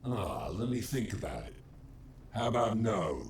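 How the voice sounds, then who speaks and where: A tough, wisecracking action hero for a video game. The voice is deep and takes its time, with sardonic swagger. Clear background noise sits under it. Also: male voice, big pitch swings, measured pace, roomy sound, full character voice. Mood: cocky, sardonic. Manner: dry, sardonic. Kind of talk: video game cutscene acting.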